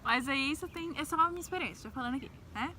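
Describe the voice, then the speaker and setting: A young woman with a central-west Brazilian accent speaks in a fairly high, bright voice. She chats informally like a vlogger sharing her own story, dropping in small tags for agreement. There is faint noise behind her. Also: lively intonation, racing. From Brazil, grew up in Brasília. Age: young adult. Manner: informal, peppers speech with tags seeking agreement. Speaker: travel vlogger. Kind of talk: casual, chatty personal storytelling.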